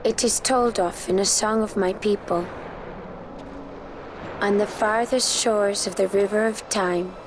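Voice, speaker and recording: A woman's voice: mid-range voice, noisy background, natural voice. An actress playing a mystical, solemn woman in a fantasy game, speaking in a poetic, reverent manner. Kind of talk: fantasy game cutscene acting.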